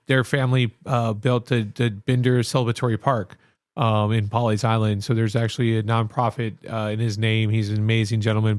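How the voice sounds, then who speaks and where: A man with a mid-range voice tells stories as a guest chef, animated and quick, tripping over restarts as he goes. The sound is dry and close. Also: on the low side, no echo. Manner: animated storyteller, many restarts. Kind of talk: casual interview chat.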